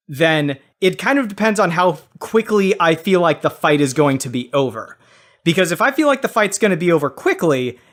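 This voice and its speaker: A man's voice: a little high, a bit bright. A man giving casual, long-winded explanations as the judge on a comedy debate podcast.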